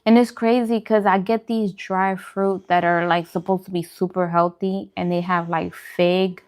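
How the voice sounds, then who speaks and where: Casual, lower-pitched woman chatting. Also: little pitch movement, unhurried, a little mellow, clear, resonant tone. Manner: informal, conversational, repeats phrases for emphasis. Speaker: host of a food and vlog channel. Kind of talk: casual eating-show chat answering viewer questions.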